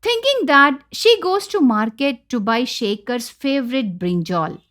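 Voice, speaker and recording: High-pitched Indian woman narrating a story. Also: big pitch swings, a little slow, a bit bright.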